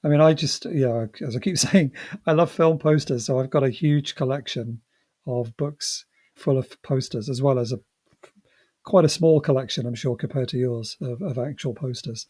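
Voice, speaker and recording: A man with a medium-pitched voice hosting a podcast. He chats casually and effusively, with a self-deprecating, appreciative way of talking. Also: normal speed, a little mellow, slightly rough. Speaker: podcast host. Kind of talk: casual chat.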